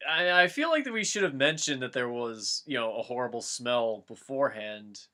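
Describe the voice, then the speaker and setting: A man's voice: medium pitch, animated, normal speed, bright, forceful tone. A man doing a comic read-aloud of a story, breaking off into casual banter. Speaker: reader of a fan-fiction dramatic reading.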